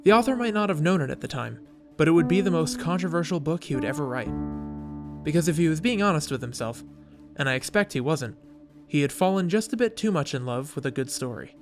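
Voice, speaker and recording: A man's voice: a little high, lively intonation, fairly quick. A man narrating a scripted video essay in a wry, casual storytelling manner, with dramatic touches. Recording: music playing behind voice.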